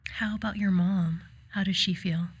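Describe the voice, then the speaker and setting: An actress playing a calm, patient therapist in a naturalistic audio drama, gently questioning in a measured, breathy voice. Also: female voice, medium pitch. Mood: patient, probing.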